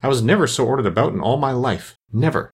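A man's voice: medium pitch, expressive, fast, breathy edge, voice pushed up. A man voicing a polite, earnest little girl in a storybook, with a prim, questioning manner. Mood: puzzled. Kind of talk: storybook character reading.

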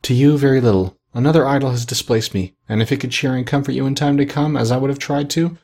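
A man voicing a gentle, sorrowful young woman who speaks softly and steadily, in an audiobook reading. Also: medium pitch, fast, voice pushed up, close to an everyday voice. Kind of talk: audiobook character voice.

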